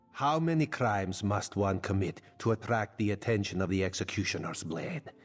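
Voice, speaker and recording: An actor playing a solemn cat-man royal agent and executioner in a video game, speaking in a measured, formal way. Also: lowish voice, breathy edge. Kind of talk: video game character acting. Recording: music behind.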